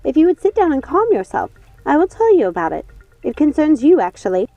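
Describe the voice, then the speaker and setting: Woman as a high-voiced, haughty witch sister. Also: sing-song, a little fast, mellow, dry recording, faint music behind. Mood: feigned innocence. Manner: insistent, placating, condescending. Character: haughty, controlling witch sister, falsely innocent and soothing. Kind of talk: dramatic audiobook character voice.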